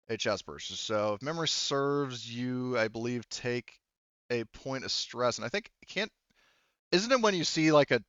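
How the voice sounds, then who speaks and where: A man narrating a tabletop roleplaying game as game master, informal and halting, often correcting himself. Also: medium pitch, a bit bright, smooth, dry recording, dull audio.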